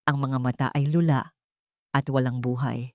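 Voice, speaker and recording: A woman's voice: very deep voice, fairly even intonation, moderate pace, darkish tone, no room sound, clean studio sound. A woman with a Filipino accent reading a scripted story as a dramatic audiobook narrator.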